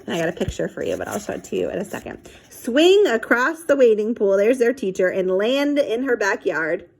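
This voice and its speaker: A woman's voice: medium pitch, a little fast, vocal fry. Woman reading a children's story aloud. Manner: animated, acts out dialogue and sound effects. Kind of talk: expressive read-aloud with casual asides to young listeners.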